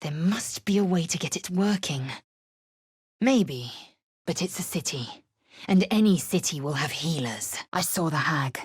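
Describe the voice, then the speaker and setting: A fierce alien warrior woman for a fantasy video game. Her voice sits fairly low and is husky and raspy, recorded very dry. She speaks in clipped, formal phrases with imperious disdain. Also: no echo, full character voice. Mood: cold, wary. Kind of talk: video game character acting.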